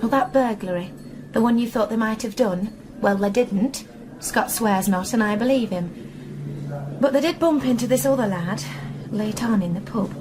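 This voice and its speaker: A woman's voice: on the high side, moderate pace, crowd noise, natural voice, rough recording. An actress in a radio drama playing a worried, talkative mother, anxious and plain-spoken. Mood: confiding. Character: worried, talkative working mother of a wayward lad. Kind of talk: naturalistic radio drama acting. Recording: clear background ambience noise.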